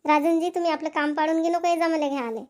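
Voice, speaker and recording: Cartoon casting: woman playing high-pitched young newlywed wife, affectionate and dutiful, Varhadi Marathi accent. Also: steady tone, fast, darkish tone. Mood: obliging. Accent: Varhadi Marathi, Vidarbha. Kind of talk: cartoon family comedy dialogue.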